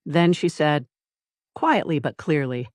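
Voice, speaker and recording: A narrator reading a scripted dramatic story in deliberate short phrases with dramatic pauses. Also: mid-range voice, animated, no room sound, studio quality. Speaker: audiobook narrator.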